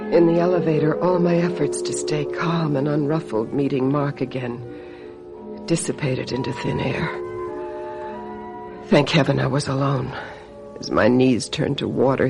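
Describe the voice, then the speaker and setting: An actress in a radio drama playing a brisk, cutting, embittered female talent agent with a sardonic edge. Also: extremely low pitch, gravelly, music in the background, full character voice. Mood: shaken, confiding. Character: sharp-tongued, embittered female talent agent, secretly a witch.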